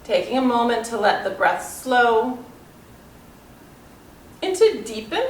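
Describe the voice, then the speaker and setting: Stuffy-nosed yoga teacher lady, slow calm cues, roomy echo, mic kinda far off. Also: mid-range voice, slight background noise. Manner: calm, unhurried instruction with soft trailing phrases. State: congested, slightly nasal. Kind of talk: guided yoga class. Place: room with yoga mat, indoors. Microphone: far camera. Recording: echoey room, mic at a distance.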